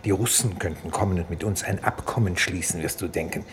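An actor in a 1950s German radio play, playing a resigned, unbending exiled doctor who speaks in measured, grave declarations. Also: male voice, deep voice, close to an everyday voice. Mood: fatalistic, unsparing. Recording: faintly noisy 1950s radio recording.

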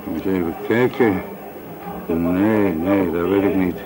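An actor playing a talkative, matey café owner in a radio play, bustling and shrewd. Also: male voice, low voice, fairly even intonation, slow, crowd noise. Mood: chatty. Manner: talkative, matey, tags questions with "hè". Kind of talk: Dutch radio play acting.

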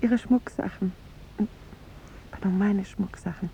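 An actress playing a charming young woman in a 1950s radio drama, speaking softly, earnestly and with delicate restraint, gently resolute in parting. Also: steady tone, slow, vocal fry. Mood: resolute, subdued. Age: young adult. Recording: muffled vintage radio recording, rumbling hiss.

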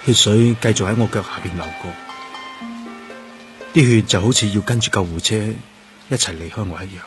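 Actor as deep-voiced grieving Hong Kong police detective. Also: male voice, moderate pace, music in the background, natural voice. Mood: numb, sorrowful. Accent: Hong Kong Cantonese. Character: grief-stricken male police detective narrating his girlfriend's death. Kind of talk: radio drama monologue and acting.

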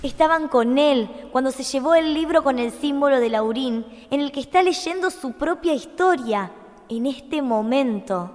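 A woman playing a gentle, solemn young empress of a fantasy realm in a radio drama. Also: sits high, animated, measured pace. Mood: pleading, urgent. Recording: long hall reverb on studio recording.

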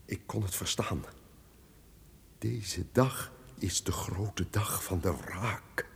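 A commanding, melodramatic villainous duke. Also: male voice, deep voice, takes it slowly, vocal fry, in a whisper, full character voice. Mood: haunted, shaken. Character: tyrannical villainous duke, haunted, wrathful and defiant. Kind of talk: old radio play acting. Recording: noisy old radio recording.

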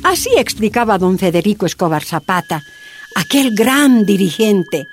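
An actress playing an earnest woman of the mines, narrating a radio drama deliberately and emphatically. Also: medium pitch, expressive, music in the background, natural voice. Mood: earnest, firm. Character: earnest miners' woman recalling her leader's lessons.

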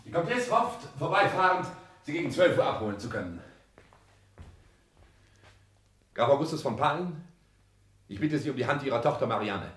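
An actor playing a proud, ambitious bourgeois suitor in a 1960s German radio play, formal, courteous and insistent. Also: male voice, on the high side, sing-song, fast, fairly bright tone. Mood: eager, formal.

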